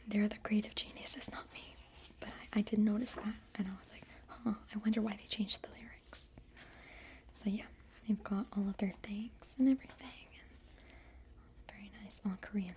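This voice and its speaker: A young woman whispering through a casual album unboxing and softly half-singing song lines, rambling with many fillers. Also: medium pitch, steady tone, moderate pace. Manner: rambling, filler-heavy chatter. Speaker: vlogger.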